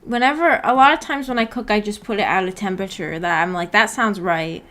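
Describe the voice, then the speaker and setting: A woman's voice: mid-range voice, brisk, fairly bright tone. A woman hosting a casual livestream, rambling and thinking aloud in a laid-back way as she chats with viewers. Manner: reads viewer comments aloud and replies. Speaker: livestream host.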